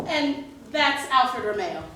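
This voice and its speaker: A woman playing a brisk, officious small-town commissioner in a theatrical, projected stage style. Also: sits high, animated, bright, forceful tone, heavily acted. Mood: brisk, polite. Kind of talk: musical theatre stage acting. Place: staged reading before live audience. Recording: echoey hall, distant mic, faint noise.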